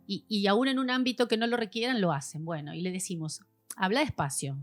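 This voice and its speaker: A woman's voice: medium pitch, a little fast, soft background music. A woman, a voice specialist guest explaining patiently in a podcast chat, like a teacher. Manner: patient, teacherly, acts out examples.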